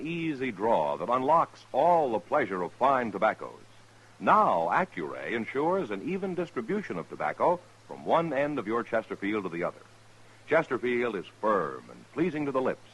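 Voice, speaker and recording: A man's voice: medium pitch, fairly bright tone, slightly rough, low-quality recording. An American man reading a scripted 1950s radio commercial as an announcer. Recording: old radio broadcast with music.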